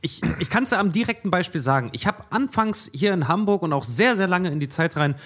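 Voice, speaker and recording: Male co-host from eastern Germany, fast, slightly high, frank and rambling. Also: animated, a bit bright. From eastern Germany, Uckermark. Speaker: podcast co-host. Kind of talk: casual podcast chat.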